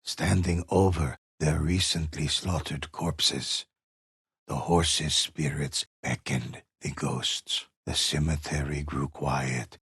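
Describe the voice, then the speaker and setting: A grave old man narrates ghost legends for a video game. His voice is deep and husky, and he takes his time over every phrase with slow, ominous solemnity. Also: steady tone, fairly bright tone, no room sound, studio quality, exaggerated voice. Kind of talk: dramatic scripted storytelling for a video game.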